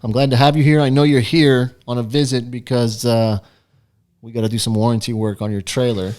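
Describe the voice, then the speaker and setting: A man's voice: mid-range voice, little pitch movement, a little fast, a little mellow. A man with a mid-range voice hosts a podcast in an upbeat, chatty way. He welcomes his guest and asks questions from a small office studio. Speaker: podcast host. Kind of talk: casual podcast hosting.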